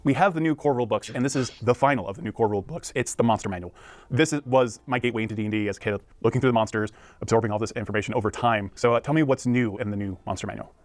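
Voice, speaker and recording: A man's voice: mid-range voice, racing, no echo, soft background music. A man conducting a conversational promotional interview, asking questions and commenting.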